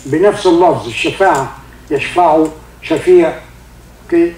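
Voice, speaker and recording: An older man with a heavy Egyptian accent, a native Arabic speaker, giving an informal religious talk in halting English with many fillers and recited Arabic verses. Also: on the high side, darkish tone, reverberant, a little hiss or hum, poor audio. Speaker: religious teacher. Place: indoor room with carpeted seating.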